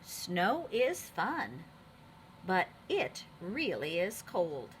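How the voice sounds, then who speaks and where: A woman reading the parts of childlike herd elephants in a children's storybook, expressive and exclaiming. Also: fairly low pitch, very slow, slight background noise, exaggerated voice. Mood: content. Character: herd elephants, unsure, easily impressed, childlike followers. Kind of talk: children's storybook read-aloud.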